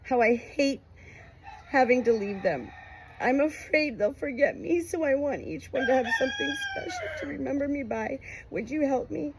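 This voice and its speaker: A woman's voice: high-pitched, sing-song, normal speed, noisy background, voice pushed up. A woman voicing an open, tender young mother who is dying, in a heartfelt storybook reading. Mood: sorrowful, pleading.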